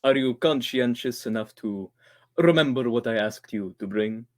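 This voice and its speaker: A man's voice: mid-range voice, normal speed, natural voice. A man playing a suave, scheming doctor in an old-time radio drama, courteous and slyly theatrical. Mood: silkily pleased. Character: suave, scheming male doctor who hires killers.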